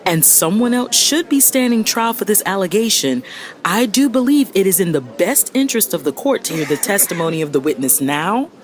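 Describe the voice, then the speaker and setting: An actress playing a fiery, exasperated lawyer and big sister, speaking emphatically in a dramatic audio story. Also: female voice, mid-range voice, expressive, fairly quick, soft background music, natural voice. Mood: composed, insistent. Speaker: audiobook narrator. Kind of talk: dramatic first-person story narration.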